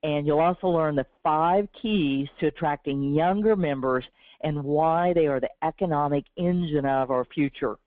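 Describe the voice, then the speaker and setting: A woman with a sore, slightly raspy throat presents a webinar from a prepared script in an earnest, encouraging way. Her voice comes through a thin, phone-like line with no room sound around it. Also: low voice, moderate pace, no room sound. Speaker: webinar host from a business development team. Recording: tinny, dry phone-line audio.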